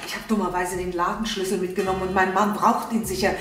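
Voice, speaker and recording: An actress playing an outwardly polite, secretive shopkeeper's wife in a crime drama, speaking in a measured, restrained way. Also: female voice, medium pitch, flat delivery, fairly bright tone, a little hiss or hum, lightly acted. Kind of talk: German television crime drama acting.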